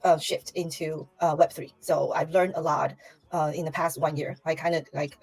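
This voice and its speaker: A woman's voice: sits low, steady tone, moderate pace, darkish tone, husky, faint music behind, low-quality recording. A woman from Taiwan, a guest on a livestream interview, chatting casually with many fillers and restarts over a remote call mic.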